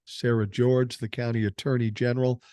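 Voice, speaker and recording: A man's voice: medium pitch, little pitch movement, normal speed, mellow, no room sound, studio quality. An older man hosting a political podcast, giving blunt, profane opinions and reading news stories aloud. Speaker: podcast host.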